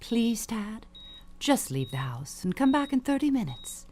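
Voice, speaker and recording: An actress playing an elderly, imperious, manipulative dying matriarch in an audio suspense drama, haughty and theatrical in manner. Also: female voice, fairly high pitch, sing-song, unhurried, a little mellow, slight background noise. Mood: solemn, coaxing. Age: older.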